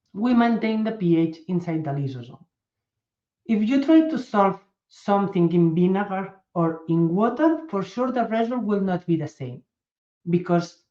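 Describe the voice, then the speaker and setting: A woman's voice: medium pitch, dark tone, roomy sound, highs cut off. A woman with a Spanish accent giving a medical talk, carefully restarting phrases as she searches for English words. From Spain. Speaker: pharmaceutical medical director presenting. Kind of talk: conference presentation over video call.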